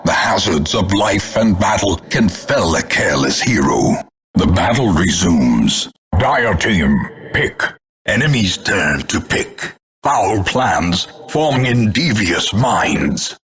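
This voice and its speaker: An actor playing a grim, scornful older male announcer in a video game, grandiloquent and portentous, with a gravelly, husky voice. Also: on the low side, sing-song, takes it slowly, a bit bright, faint music behind, exaggerated voice. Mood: ominous, grave. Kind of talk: theatrical scripted game announcements.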